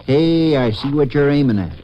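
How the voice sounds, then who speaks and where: An actor playing a helpful small-town western sheriff, plainspoken and folksy, in a 1950s radio drama. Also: male voice, mid-range voice, moderate pace, mellow, lightly acted. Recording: muffled, noisy vintage radio recording.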